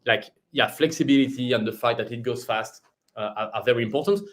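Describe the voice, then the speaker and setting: Man explaining a platform. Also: fairly quick. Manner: explanatory, pitching. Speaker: company managing director. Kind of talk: webinar product explanation.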